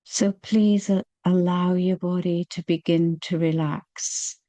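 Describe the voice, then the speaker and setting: A woman leading a guided meditation, speaking gently and soothingly with long, unhurried pauses. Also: on the low side, fairly even intonation, dry recording.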